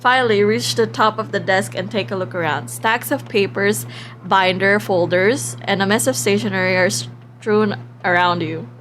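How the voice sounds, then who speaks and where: A woman livestreaming a visual novel, reading aloud with expressive, giggly reactions and the odd stumble over words. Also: mid-range voice, moderate pace, a little hiss or hum. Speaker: live streamer playing and reading a visual novel. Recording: music playing behind the voice.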